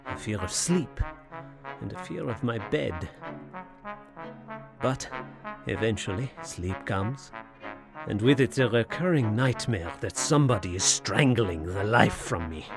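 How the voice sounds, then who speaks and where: An actor playing a tormented, anxious French gentleman in an audio horror drama, speaking formally with hesitant, brooding, theatrical delivery. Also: lowish voice, lively intonation, unhurried, vocal fry, pauses often, over music. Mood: dread. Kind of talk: audio horror drama acting, period literary diction.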